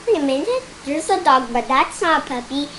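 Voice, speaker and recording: High-pitched child reading a picture book. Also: expressive, background noise. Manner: halting reading, stumbles and repeats words. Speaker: storytime reader. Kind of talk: read-aloud of a children's picture book with spoken asides.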